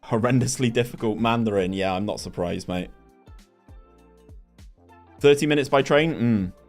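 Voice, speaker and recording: Casting note: young man, chatty streamer, mid-pitched voice, light music underneath. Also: normal speed. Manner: chatty, reads chat aloud, many restarts. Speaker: gaming streamer. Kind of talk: casual live stream chat.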